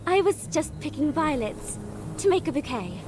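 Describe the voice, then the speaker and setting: A woman's voice: high-pitched, very animated, normal speed, noisy background. A woman voicing a timid, sheltered young rabbit in a fantasy visual novel, hesitant and sweetly naive. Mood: shy, wistful. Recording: music behind the voice.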